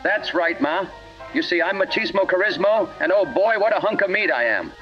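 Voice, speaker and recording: A swaggering, violent mama's-boy thug in a parody radio play. The voice sits high for a man, full of crude boasts and threats. It comes through muffled and narrow, with faint music underneath. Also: animated, normal speed. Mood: cocky swagger. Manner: bragging, menacing, crude. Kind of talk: parody radio drama acting.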